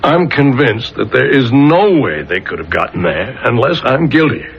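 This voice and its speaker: Exasperated, worn-down suspect, swinging pitch. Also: male voice, big pitch swings, gravelly. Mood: anguished self-doubt. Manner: agitated, halting, defensive. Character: worn-down middle-class male murder suspect, exasperated, self-doubting. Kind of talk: 1970s radio drama acting. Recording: noisy, muffled old radio recording.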